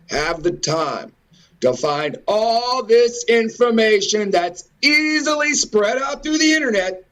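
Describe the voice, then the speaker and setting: A man with a high-pitched voice gives an impassioned, informal commentary. He takes his time over the words, leaning on repetition, pointed rhetorical questions and curses as he rants. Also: fairly bright tone, low-quality recording. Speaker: host of a religious and historical commentary show.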